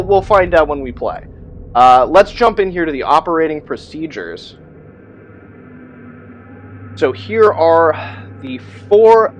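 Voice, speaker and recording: A man explaining a tabletop roleplaying game as its game master, stressing key words and pausing between phrases. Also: mid-range voice. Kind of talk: explanatory talk on tabletop game characters and rules.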